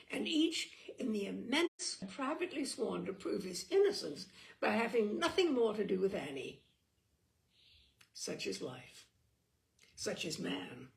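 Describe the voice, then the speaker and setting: Animated, creaky-voiced woman storyteller. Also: mid-range voice. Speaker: audiobook reader and storyteller. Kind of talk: expressive literary story narration.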